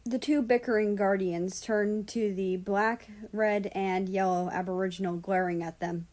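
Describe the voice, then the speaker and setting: A woman's voice: mid-range voice, fairly even intonation, unhurried, a little mellow. A woman narrating fan fiction from a script as a story reader.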